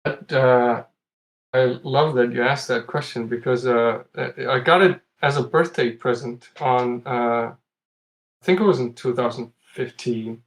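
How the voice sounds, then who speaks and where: A man's voice: mid-range voice, measured pace. A man from Iceland chatting casually as a podcast guest, telling stories in a conversational way. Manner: chuckles often while talking. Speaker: podcast guest, competitive retro game player.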